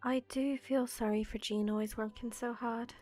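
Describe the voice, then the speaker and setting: A woman's voice: fairly high pitch, steady tone, normal speed, a little mellow, faint music behind. A woman reading a player's dialogue lines aloud for a video game.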